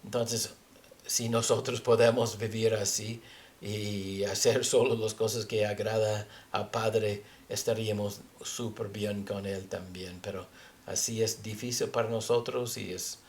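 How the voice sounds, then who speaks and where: A man teaches from scripture in a fairly low voice, taking his time over every phrase. He is a native English speaker with an audible foreign accent. His delivery is halting as he moves between reading verses and explaining them. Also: level tone. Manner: halting, searching for words. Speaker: religious teacher.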